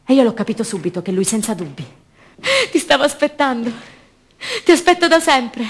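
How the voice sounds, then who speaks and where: An actress playing a young, idealistic student activist held prisoner, delivering an intense, passionate theatrical monologue for radio drama. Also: female voice, a little high, very animated, brisk, breathy edge. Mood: enamoured. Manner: intense, swinging from hushed repetition to fervent declaiming.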